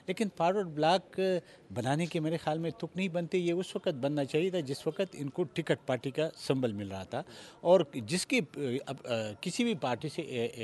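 A man's voice: a little high, darkish tone. A man in his fifties with a Pakistani accent, answering an interview in a hesitant, repetitive way. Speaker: interviewed politician. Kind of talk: political interview. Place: outdoors, parliament forecourt. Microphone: handheld interview microphone.

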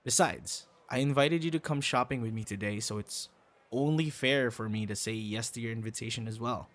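Young boyfriend role, acted by a man for audio roleplay: mid-pitched, shy and kind, conversational with small stammers. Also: normal speed, close to an everyday voice. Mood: warm, excited. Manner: conversational, hesitant, with stammers and soft asides. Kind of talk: ASMR boyfriend roleplay acting.

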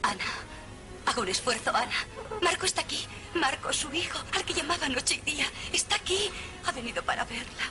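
A kindly woman in an old cartoon melodrama. Her high voice swings expressively, with music behind it. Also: expressive, a little fast. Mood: urgent, pleading. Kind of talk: dubbed cartoon melodrama acting.

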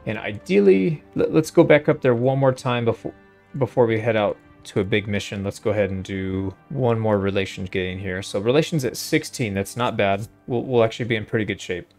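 A man's voice: on the low side, brisk, soft background music. A man giving casual gameplay commentary, thinking aloud in a laid-back way as he hosts a playthrough. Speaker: host of a gaming playthrough.

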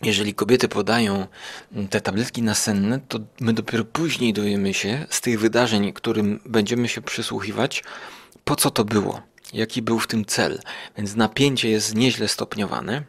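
A man hosting a podcast, giving an informal review in a halting way, rephrasing as he goes. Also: lowish voice, steady tone, slightly rough.

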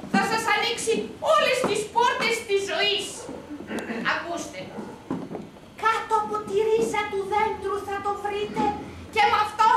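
A woman playing a wise, solemn owl who declaims riddles in rhyme in a play for children. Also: extremely high pitch. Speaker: actor in a children's musical play. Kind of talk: children's theatre. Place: stage in a theatre hall. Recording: noisy echoey hall, distant camera mic.

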